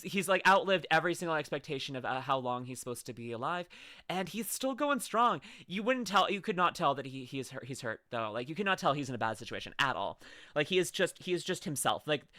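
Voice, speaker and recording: A woman's voice: deep voice, fairly bright tone, dry recording, studio quality. A young woman streaming from home, chatting casually and gushing effusively, with many restarts. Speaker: streamer reacting to videos. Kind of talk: casual live-stream chat.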